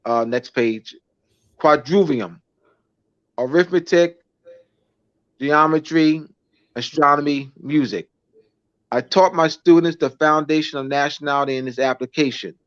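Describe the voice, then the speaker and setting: A man from the United States, a teacher and author, reads out a lesson outline and then chats casually, halting and repeating words. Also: a little high, no echo, highs cut off.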